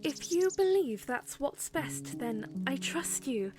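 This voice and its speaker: A woman plays a young princess in an audio drama, gentle and sincere. Her voice is high and her delivery slow and earnest, with music clearly audible behind her. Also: lively intonation. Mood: agreeable, curious. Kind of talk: fan audio drama acting.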